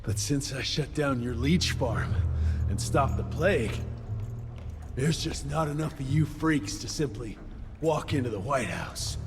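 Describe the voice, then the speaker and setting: An actor playing a grim, determined young vampire hunter in a game cutscene. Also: male voice, mid-range voice, lively intonation, unhurried, music in the background. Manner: terse, determined. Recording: game cutscene with loud score.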